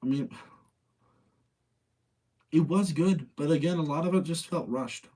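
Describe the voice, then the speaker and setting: Casual male streamer with a slightly husky voice. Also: a little high, unhurried, mellow. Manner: opinionated, self-debating, repeats phrases for emphasis. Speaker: streamer hosting a live movie-rating chat. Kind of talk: casual chat with live audience.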